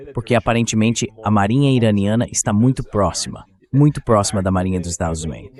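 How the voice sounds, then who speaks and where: A man's voice: lowish voice, a little mellow. A man's synthetic voice dubbing the host of a live discussion in translation. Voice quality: synthetic dubbed voice. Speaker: interview host.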